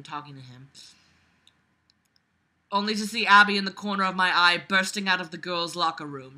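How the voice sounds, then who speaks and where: Bright, forceful woman reading with mocking asides. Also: fairly low pitch. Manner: exasperated, sarcastic, swearing freely. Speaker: book reader and commentator. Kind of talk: reading a novel aloud with mocking commentary.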